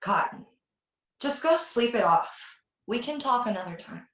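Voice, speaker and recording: A woman acts out a scared horror heroine threatened by a killer. Her voice is fairly high and swings widely in pitch, slow and halting as she pleads. The sound is roomy and echoing. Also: animated, fairly bright tone. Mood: wary, irritated. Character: frightened woman, film star, threatened by a killer. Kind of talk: slasher film table read. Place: indoor room on a video call. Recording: roomy, echoing video call audio.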